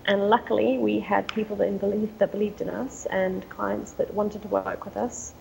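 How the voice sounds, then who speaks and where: English woman with a heavily gravelly voice. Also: mid-range voice, level tone, moderate pace, a little mellow, slight background noise, poor audio. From England. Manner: conversational, frequent ums, occasional laughs. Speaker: guest, company founder. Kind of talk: casual interview answers.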